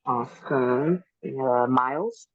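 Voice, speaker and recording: Slow-paced woman hosting a dating show. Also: rumbling low, no echo, lo-fi sound. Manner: repeats short cheerful acknowledgements.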